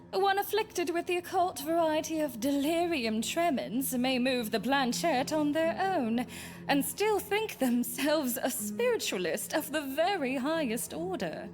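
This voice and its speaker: A theatrical, secretive spiritualist lady for a visual novel. Her high voice swoops widely as she takes her time over pompous, old-fashioned phrases. She sounds archly amused and superior, over game music. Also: expressive, a little slow. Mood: mocking amusement. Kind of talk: scripted visual novel character acting.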